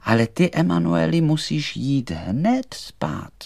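Storybook poppy doll, male performer: little, female, kind and anxious, voice lifted high, tender delivery. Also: big pitch swings, creaky, darkish tone, no echo, clean studio sound, full character voice. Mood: gentle. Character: small gentle female poppy doll, worried but kind.

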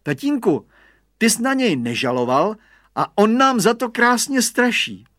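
A man's voice: extremely high pitch, very animated, fairly bright tone, no echo, studio quality, pitched above the performer's own voice, full character voice. A man voicing an earnest, eager little boy in a storybook tale. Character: little boy, the gardener's son, earnest and pleased.

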